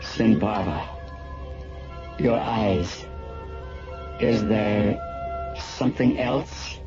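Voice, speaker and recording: An actor playing a stern, scornful alien authority in a radio drama, speaking in a grave, measured, formal manner. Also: male voice, fairly low pitch. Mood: grave concern. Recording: dull lo-fi radio drama, music behind.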